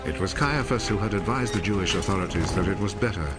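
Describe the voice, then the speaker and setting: A low-pitched male narrator reads scripture for a film, measured and solemn. A full musical score plays clearly behind his voice. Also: lively intonation, over loud music.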